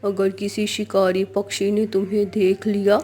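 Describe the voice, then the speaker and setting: A woman's voice: medium pitch, flat delivery, normal speed, dark tone, husky, natural voice. A woman voicing a kindly, protective mother-like dove in a children's cartoon, soothing and reassuring. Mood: gentle concern.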